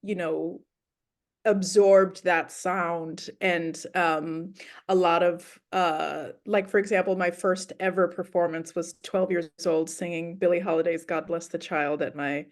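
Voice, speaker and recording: A woman born in Ethiopia answers interview questions in a thoughtful, storytelling manner, pausing on ums and you-knows as she explains her music. Her voice sits a little low. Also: fairly even intonation. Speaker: musician guest. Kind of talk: casual interview talk.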